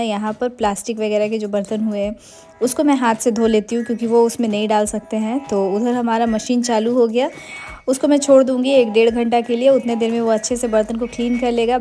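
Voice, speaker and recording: A woman's voice: fairly high pitch, steady tone, a little fast, warm tone, faint music behind. A young adult woman narrating a casual daily-life vlog, chatty and meandering, mixing English words into her Hindi. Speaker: vlogger.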